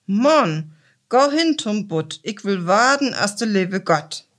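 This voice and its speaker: A woman voicing a greedy, domineering fisherman's wife in a Low German fairy-tale reading, in a stern Low German accent. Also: sing-song, slow, a bit bright, full character voice. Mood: demanding. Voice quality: stern and demanding.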